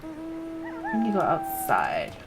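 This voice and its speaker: A woman's voice: sits high, wildly swooping pitch, slow, music in the background. A woman streaming a game, muttering casually to herself about where to go next. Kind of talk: casual chat while playing a game.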